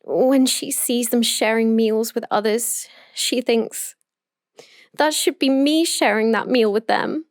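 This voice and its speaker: An obsessive young woman, played by a voice actress, speaks in a high voice at an unhurried pace. She is possessive and emotionally intense, delivering an intimate roleplay monologue as if confiding in her best friend. The sound is close and very dry. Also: dry recording. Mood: insistent, lovesick. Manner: slow, deliberate, emotionally intense storytelling.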